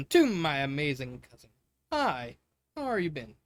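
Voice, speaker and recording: A man's voice: on the high side, very animated, unhurried, dry recording. A man voicing a polite, curious six-year-old underworld prince in a fanfiction reading, friendly, earnest and a little tentative.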